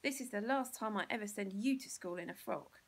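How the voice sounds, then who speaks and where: A woman's voice: mid-range voice, fairly quick, vocal fry. A woman with an English accent voicing a horrified, exasperated mother who shrieks as she scolds in a storybook. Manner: shrieking, exclamatory.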